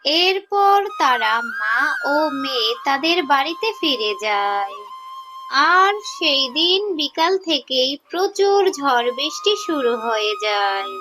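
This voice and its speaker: High-pitched woman storyteller. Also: very animated, takes it slowly. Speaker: narrator. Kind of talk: scripted cartoon storytelling narration. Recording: music behind the voice.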